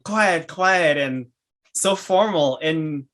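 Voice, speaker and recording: Bright-voiced man with a Haitian accent. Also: on the high side, animated. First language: French and Haitian Creole. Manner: enthusiastic, laughs often, halting restarts. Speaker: podcast host. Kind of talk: casual interview chat.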